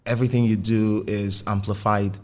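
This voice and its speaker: A man's voice: fairly low pitch, level tone, moderate pace. A man with a Nigerian accent conducting a conversational interview, putting and rephrasing probing questions. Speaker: interviewer, music journalist.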